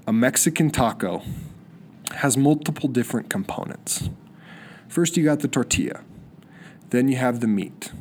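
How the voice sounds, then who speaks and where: A man's voice: medium pitch, expressive, moderate pace, long pauses, a little mellow, a little hiss or hum. A young man hosting a solo podcast, sniffly with a cold, rambling eagerly with many restarts. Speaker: podcast host. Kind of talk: solo casual podcast monologue.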